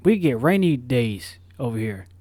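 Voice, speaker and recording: A man's voice: medium pitch, big pitch swings, moderate pace, mellow, dry recording. A man with an ordinary mid-range voice chats casually on a podcast. He rambles, repeats himself and drops slang. The recording is dry, with no room sound. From Florida. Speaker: podcast co-host.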